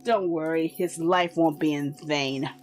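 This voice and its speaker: A woman voicing a cruel male slaver who speaks in a low, quiet, taunting drawl. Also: steady tone, a little slow, faint music behind. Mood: menacing.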